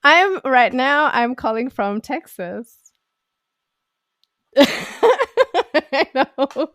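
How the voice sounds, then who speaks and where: Slow-talking woman hosting a podcast. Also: sits high, no room sound. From Germany. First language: German. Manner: relaxed and chatty, with frequent fillers. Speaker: podcast host. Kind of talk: casual podcast interview. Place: home in Texas, on a call.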